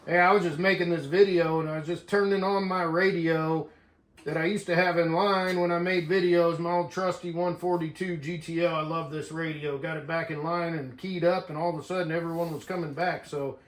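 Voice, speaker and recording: A man's voice: on the high side, steady tone, a little fast. An American man chatting casually and in a folksy way as he hosts a hobby radio video. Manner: folksy, says "man" often. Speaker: CB radio operator and video host. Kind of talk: casual hobby vlog chat. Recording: roomy echo.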